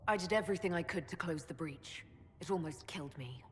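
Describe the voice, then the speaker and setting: Earnest mage heroine, played by a woman for a fantasy game: low, subdued voice in a long reverb. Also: fairly quick, a little hiss or hum, natural voice. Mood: defensive. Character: earnest young female mage, reluctant chosen survivor. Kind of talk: dramatic video game acting. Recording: long hall reverb, music behind.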